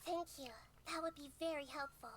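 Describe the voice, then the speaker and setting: A woman voicing a tiny, eager, childlike companion in an animated video game, earnest in manner. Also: high voice, a little fast, background noise, close to an everyday voice. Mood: earnest, concerned.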